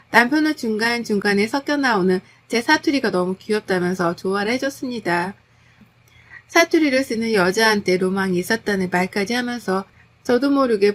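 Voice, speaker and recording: A woman reading a submitted first-person story aloud as scripted narration, with a calm, steady, confessional delivery. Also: mid-range voice.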